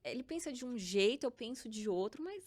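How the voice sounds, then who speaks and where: A woman's voice: on the high side, fairly quick. A Brazilian woman in her thirties, a guest co-founder chatting casually on a podcast and telling stories with acted-out dialogue. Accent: Brazilian Portuguese. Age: 30s. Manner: lively storytelling, acts out dialogue, frequent laughter.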